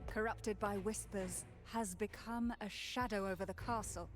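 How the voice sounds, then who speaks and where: An actress playing an elegant, enigmatic noblewoman in a video game, soft-spoken, poised and formal. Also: mid-range voice, fairly even intonation, normal speed, faint music behind, natural voice. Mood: serene resolve. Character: serene, enigmatic noblewoman sorceress, elegant and resolute. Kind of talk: dramatic video game voice acting.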